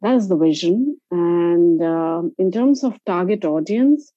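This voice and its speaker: A woman's voice: fairly low pitch, expressive, a little slow, warm tone, dry recording. A woman with an Indian accent, answering as an interview guest in a conversational, explanatory way. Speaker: interview guest, travel company founder. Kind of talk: conversational personal storytelling. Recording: dull, lo-fi audio.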